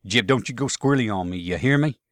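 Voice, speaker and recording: Casting: man playing insistent Confederate infantryman, folksy Southern US drawl, animated pitch, close dry sound. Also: dry recording, natural voice. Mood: incredulous, needling. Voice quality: slow drawl. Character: gruff, pushy Confederate soldier urging his comrade to shoot.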